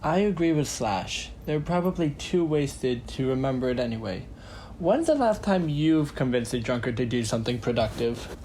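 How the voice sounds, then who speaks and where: An actor playing a dry, cynical fantasy adventurer in an audio drama, with a skeptical way of talking. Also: male voice, medium pitch, animated, moderate pace, close to an everyday voice. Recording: noisy background ambience.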